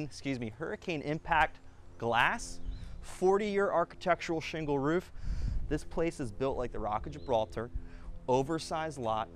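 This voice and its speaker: A man in his thirties giving an upbeat real estate walkthrough of a house, listing features in quick bursts as he walks through the rooms. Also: a little high. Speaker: real estate agent giving a home tour. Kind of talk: enthusiastic walkthrough tour. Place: inside and around a single-story house.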